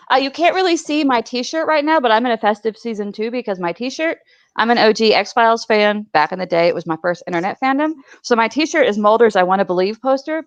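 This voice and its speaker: A woman's voice: medium pitch, clean tone, dry recording. A woman chatting casually as a panelist, with a playful, self-deprecating way of talking. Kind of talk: casual chat.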